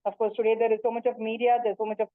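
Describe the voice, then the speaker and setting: Earnest yoga teacher on a tinny call. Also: female voice, fairly high pitch, little pitch movement, racing, no room sound. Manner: earnest, many fillers and restarts. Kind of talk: webinar talk and Q&A. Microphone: video call. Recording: tinny phone-like call audio.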